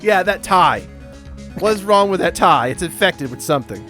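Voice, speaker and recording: A man's voice: sits high, animated, normal speed, bright, forceful tone, soft background music. A man hosting a let's play, giving casual, jokey commentary on the game. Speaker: let's play host and voice performer.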